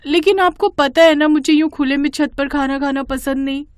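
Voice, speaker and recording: A woman voicing a fussy, exasperated young daughter-in-law in an animated storybook tale, with a whiny, protesting manner. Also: sits high, normal speed, no echo, studio quality, pitched above the performer's own voice, lightly acted. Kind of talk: animated Hindi storybook dialogue.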